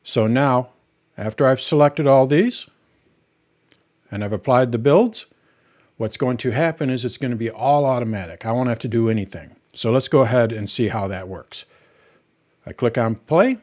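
Middle-aged man, tutorial host, patient step-by-step explaining, mid-pitched voice. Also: normal speed, darkish tone. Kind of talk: screen-recorded software tutorial.

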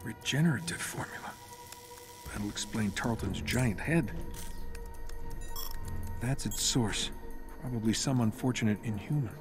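An actor playing a cocky, sardonic billionaire hero in a powered suit in a video game cutscene. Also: male voice, lowish voice, lively intonation, a little slow, long pauses. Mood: dry, curious. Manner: sardonic, muttering to himself. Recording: voice over dramatic score. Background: game music and effects.

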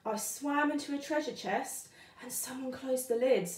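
A woman's voice: high-pitched, moderate pace, darkish tone, close to an everyday voice. A woman voicing a young boy fish from a storybook who earnestly makes excuses with tall tales. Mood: excited, boastful. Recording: roomy indoor echo.

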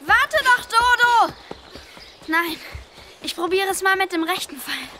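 A plucky little boy in a children's radio play. The voice is extremely high and earnest, with clear outdoor noise effects behind it. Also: animated, unhurried, pushed and bright, full character voice. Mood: eager. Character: eager, plucky young boy on a scavenger hunt. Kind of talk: children's audio drama acting.

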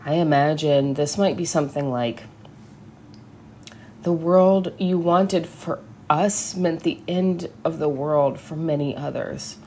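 A woman giving a scripted sermon reading, measured and deliberate, with emphatic pauses. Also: deep voice, mellow, slight background noise. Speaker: service speaker.

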